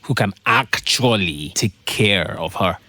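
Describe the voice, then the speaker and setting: An actor with a Nigerian accent playing a lovesick, self-doubting young copywriter in a conversational, hesitant audio drama style. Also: male voice, lowish voice, expressive, takes it slowly, bright, forceful tone, no room sound, heavily acted. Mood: defensive, lovesick. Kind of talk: naturalistic audio drama acting.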